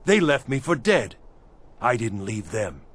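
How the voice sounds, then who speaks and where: An actor playing a bitter, down-on-his-luck old army veteran in a fantasy game, with a husky, rough voice. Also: medium pitch, sing-song, a little slow, a bit bright, full character voice. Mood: indignant, bitter. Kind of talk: fantasy game character acting.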